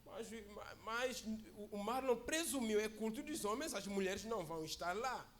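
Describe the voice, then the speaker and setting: High-voiced man preaching to a church. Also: animated, normal speed, a bit bright, a little hiss or hum. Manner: chatty and joking, often asks "né?" and calls for amens. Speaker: guest preacher. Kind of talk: informal church sermon. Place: church service.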